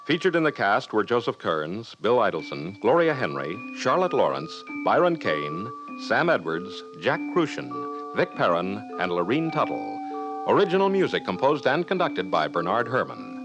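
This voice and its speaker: A man's voice: fairly low pitch, wildly swooping pitch, moderate pace, over music. An American man reading credits in a formal 1950s radio announcing style. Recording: vintage 1950s radio recording.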